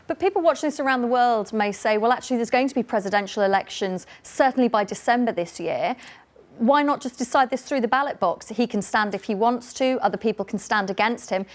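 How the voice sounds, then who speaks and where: A woman with a British accent hosting a radio phone-in, brisk and inviting. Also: a little high. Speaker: radio host.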